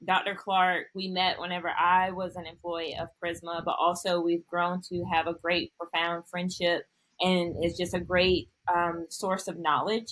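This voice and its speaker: A woman's voice: on the low side, a bit bright, low-quality recording. Woman podcast host, friendly. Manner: friendly, gushing praise of guest. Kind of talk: podcast interview.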